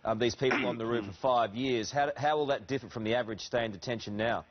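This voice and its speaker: A man's voice: mid-range voice, quick pace, lo-fi sound. A man with an Australian accent asking probing political questions as an interviewer, insistent, with stammered starts. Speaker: news interviewer.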